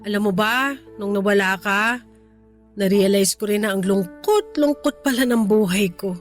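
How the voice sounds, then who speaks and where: A woman's voice: mid-range voice, normal speed, faint music behind, lightly acted. A woman playing a repentant, affectionate middle-aged mother in an emotive Filipino radio drama. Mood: loving.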